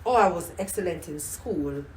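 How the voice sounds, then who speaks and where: A woman's voice: lowish voice, expressive, moderate pace, echoey room, a little hiss or hum, rough recording. An older grandmother from Jamaica with a Jamaican accent, reminiscing in a casual interview and often repeating herself. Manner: warm reminiscing, repeats words, many "you know"s. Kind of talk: casual oral history interview.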